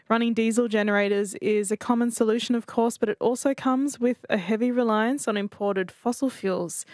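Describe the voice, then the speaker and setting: A woman's voice: a little high, a little mellow, no room sound, clean studio sound. A woman radio presenter narrating a scripted feature in an informal, upbeat manner.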